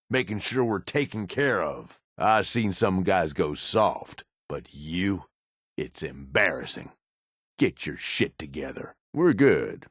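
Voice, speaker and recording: A low, gruff American male raider from a post-apocalyptic video game, recorded close and dry. He is a cynical, loyal henchman who talks bluntly in coarse street slang. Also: fairly bright tone, no echo, heavily acted. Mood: disapproving, then offhand. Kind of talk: video game companion voice lines.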